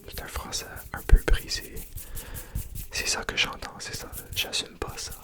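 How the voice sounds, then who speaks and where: Young Franco-Ontarian man, unhurried ASMR whisper, soft and gentle throughout. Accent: Franco-Ontarian French. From Ontario, Canada. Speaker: ASMR creator.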